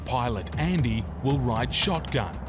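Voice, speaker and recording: A man's voice: medium pitch, expressive, unhurried. A man narrating a documentary series in a dramatic scripted style, with short, suspenseful phrases. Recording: loud music under the voice.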